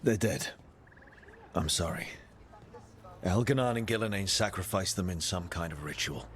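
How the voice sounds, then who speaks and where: A man's voice: deep voice, animated, normal speed, slight background noise. A man playing a resolute, earnest fantasy game hero, firm and plain-spoken. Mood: sombre.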